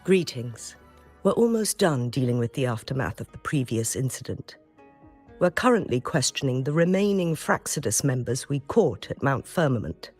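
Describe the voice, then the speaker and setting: An actor playing a courteous, dutiful official in a video game, speaking in a formal, measured, earnest way. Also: male voice, on the high side, a little mellow. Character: courteous, dutiful official giving a report, adult man. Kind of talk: video game story dialogue.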